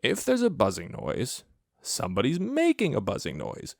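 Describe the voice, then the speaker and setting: A man voicing a slow-thinking, earnest toy bear in a children's story, reasoning aloud in a ponderous, repetitive way. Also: medium pitch, huge pitch swings, vocal fry, no room sound, clean studio sound. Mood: pondering.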